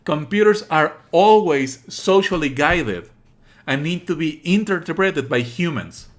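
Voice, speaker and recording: A man's voice: a little high, animated, unhurried. Opinionated male streamer giving game commentary. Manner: sweary, scornful, opinionated. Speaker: livestreamer playing and commenting on a game. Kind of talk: casual live game commentary.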